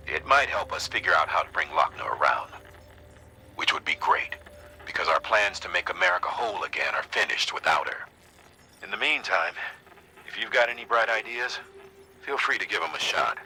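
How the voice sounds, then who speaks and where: An actor playing an earnest, grave official in a video game, explaining things in a measured, conversational, persuasive way. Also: male voice, low-pitched, lively intonation, bright, forceful tone, slight background noise, exaggerated voice, low-quality recording. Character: grave male second-in-command briefing an agent, earnest, folksy. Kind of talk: dramatic video game exposition.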